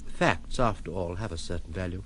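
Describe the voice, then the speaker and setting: An actor in an audio drama playing a detached, world-weary gentleman who speaks in eloquent, formal, ironic phrases. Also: sits low, brisk. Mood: wry, reflective. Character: wry, detached gentleman recluse, playfully philosophical and world-weary.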